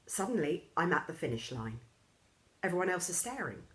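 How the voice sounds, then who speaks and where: A woman reading a children's story aloud in an expressive storybook style, acting out the characters' lines. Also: brisk. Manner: lively, acts out characters' lines with raised pitch.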